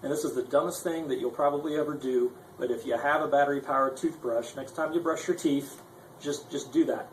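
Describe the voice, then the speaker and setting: A man's voice: medium pitch, a little fast. Man explaining gear in an echoey room. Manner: rambling, self-deprecating, conversational. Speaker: presenter of a guitar gear tutorial. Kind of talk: informal unscripted demonstration. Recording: echoey room with faint noise.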